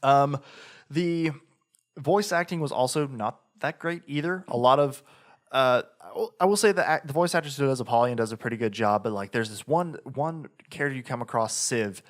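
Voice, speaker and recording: A man's voice: mid-range voice, moderate pace. A man co-hosting a podcast, reviewing a game in casual chat, talking in a rambling way with lots of fillers and restarts. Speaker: podcast co-host.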